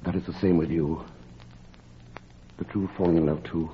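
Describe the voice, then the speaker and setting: An actor as low-voiced, ardent gentleman lover. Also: fairly even intonation, normal speed, close to an everyday voice. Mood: tender, urgent. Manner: urgent, courteous, pleading. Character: ardent, earnest gentleman lover pressing his declaration. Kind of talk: 1940s radio drama acting. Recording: muffled, noisy vintage 1940s radio recording.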